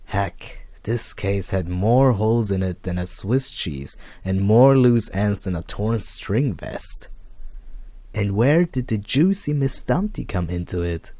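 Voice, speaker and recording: A man narrates hard-boiled detective fiction as an amateur reader. His voice is low and his pace slow and measured. The sound is close and dry, with no room on it. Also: expressive, warm tone, dry recording. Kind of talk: noir first-person scripted narration.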